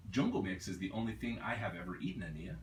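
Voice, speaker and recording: A man voicing a small, polite, earnest male fox spirit with a rich, measured voice in a storybook. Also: lowish voice, very animated, reverberant, slight background noise. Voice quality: rich, pleasant.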